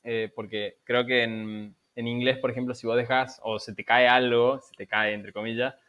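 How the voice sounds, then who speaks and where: An Argentine man hosts a podcast and asks loose questions that trail off, stopping now and then to add his own side remarks. His pitch sits in the middle, and the audio is slightly muffled and lo-fi. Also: little pitch movement, normal speed, fairly bright tone, smooth. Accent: Argentine Rioplatense. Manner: laid-back, questions trail off, asides. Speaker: podcast host. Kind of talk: conversational interview.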